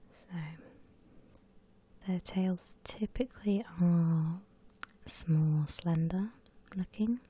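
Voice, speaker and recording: A woman gently whispers an ASMR drawing tutorial in a breathy voice, explaining each step without hurry. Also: lowish voice, drawn-out words, long pauses.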